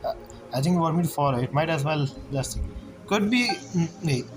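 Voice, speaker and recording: A man doing casual game commentary and reading dialogue aloud, with halting, non-fluent phrasing. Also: a little high, expressive. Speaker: gamer, let's-play commentator. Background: game music.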